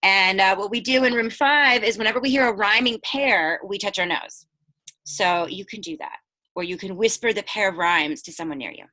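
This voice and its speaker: A woman teacher reads a bedtime picture book to young children in a bright, mid-pitched voice. Her delivery is expressive and aimed at children. She moves between reading aloud and chatty asides that explain things or put questions to her listeners. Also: moderate pace, low-quality recording.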